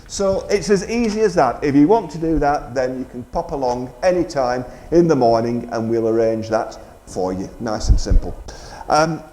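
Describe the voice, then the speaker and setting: A man's voice: mid-range voice, animated, normal speed, warm tone. A man presenting live community radio in a chatty, jokey way. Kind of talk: live radio interview and fundraising appeal. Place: radio studio stage in an indoor market. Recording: echoey, roomy live stage sound.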